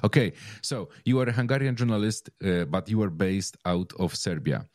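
A man's voice: fairly low pitch, lively intonation, moderate pace, darkish tone, no echo, studio quality. A man hosting a podcast interview, chatting casually and rambling with many fillers and restarts. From Poland. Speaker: podcast host.

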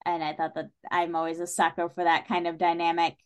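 A woman chatting casually as a podcast guest, with an animated, jokey way of talking. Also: fairly low pitch, fairly quick, clean tone, highs cut off. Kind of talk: casual chat.

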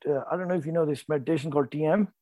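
A man's voice: on the high side, racing, warm tone, dry recording. A man in his forties from North India with an Indian accent, a guest telling his story in a rambling, self-correcting way. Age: 40s. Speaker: guest, farmer and entrepreneur. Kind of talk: casual interview talk.

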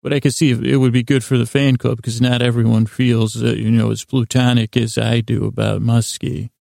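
Man with a very creaky, gravelly voice. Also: medium pitch, level tone, warm tone, dry recording, studio quality. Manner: halting, digressive, mumbly self-interruptions. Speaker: podcast host. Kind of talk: rambling, meandering bedtime podcast monologue.

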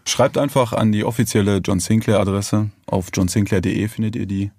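A man's voice: fairly low pitch, steady tone, a little fast, creaky, darkish tone, husky, dry recording, studio quality. A man talking casually as a podcast co-host, with informal, slightly hesitant phrasing.

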